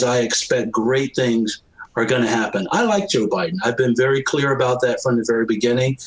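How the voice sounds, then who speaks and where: A man's voice: medium pitch, poor audio. Emphatic American man, earnest speaker. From United States. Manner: emphatic, repeats phrases for effect. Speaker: panel speaker. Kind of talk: personal, earnest panel remarks.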